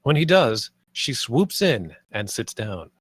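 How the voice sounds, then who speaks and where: A man's voice: medium pitch, very animated, takes it slowly, breathy edge, no room sound, clean studio sound. A man narrating the stage directions and scene headings of a screenplay at a table read.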